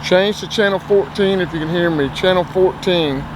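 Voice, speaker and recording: A man's voice: high-pitched, normal speed, noisy background. Chatty North Carolina man outdoors. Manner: relaxed, chatty, explains as he goes. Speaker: outdoors channel host. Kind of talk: casual gear-test vlog. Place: outdoors by a car.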